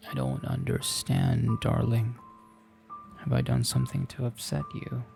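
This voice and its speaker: A man voicing an ailing, bewildered, lovesick husband in a visual novel, slow, breathy and pleading. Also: mid-range voice, wildly swooping pitch, music in the background, exaggerated voice. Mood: hurt, confused.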